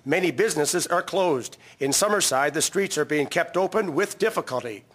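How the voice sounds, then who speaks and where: A man's voice: fairly high pitch, animated, moderate pace, noisy background, lightly acted. A man playing a 1950s radio newsreader in a drama. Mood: formal. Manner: crisp announcer delivery. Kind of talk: old-time radio news reading.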